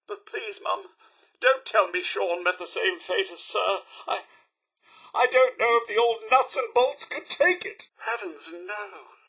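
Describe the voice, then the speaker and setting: A man's voice: sits high, creaky, sharp tone, slightly rough, full character voice. A man voicing a polite, fussy, anxious and loyal robot butler in a video game, with a British accent and a prim, formal, deferential manner. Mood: overwhelmed, plaintive, then distraught. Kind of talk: video game character voice lines.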